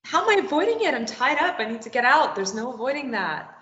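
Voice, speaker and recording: A woman's voice: sits high, very animated, fast talker, bright, forceful tone, exaggerated voice. Captive heroine, played by a woman: sarcastic, snappy, desperate, stubborn. Dull, echoing room recording. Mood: defensive. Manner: snappy, argumentative. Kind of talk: script table read. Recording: roomy, dull lo-fi sound.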